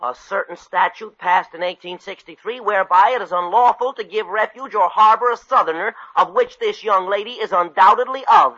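An actor playing an earnest, officious young American lawyer, formal and declamatory, in old-time radio comedy. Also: male voice, high-pitched, a little fast, few pauses, bright, forceful tone. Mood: accusing. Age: young adult. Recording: muffled old radio recording.

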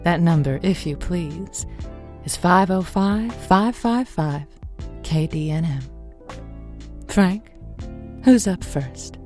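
A woman playing a wistful, self-deprecating romantic late-night radio host in an audio drama, with a breathy, confiding delivery. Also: fairly low pitch, slow pace, pauses often, a little mellow, soft background music. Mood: wistful, intimate. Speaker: radio call-in show host. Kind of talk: semi-improvised audio drama, intimate radio monologue.

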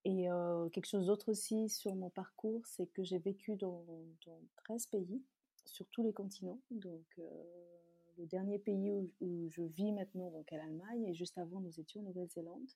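A woman from Chad, a native Tazaga speaker, talks as an interview guest. Her voice is mellow and a little husky, recorded close and dry. She explains things in a conversational way. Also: fairly low pitch, fairly even intonation, moderate pace, no echo. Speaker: interview guest, beauty brand founder.

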